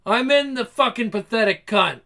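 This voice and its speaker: A cruel, gloating comic-book villain, performed by a man with a high, piercing voice. He threatens and taunts crudely, relishing his power over everyone listening. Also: lively intonation, normal speed, bright, forceful tone, heavily acted. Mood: gloating menace. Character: sadistic foul-mouthed villain possessing a woman's body.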